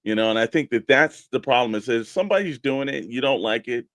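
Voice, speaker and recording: A man's voice: mid-range voice, animated, fast, fairly bright tone, dry recording, studio quality. A man co-hosting a show, chatting casually and giving opinions in a matey, conversational way. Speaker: co-host of a toy collecting show.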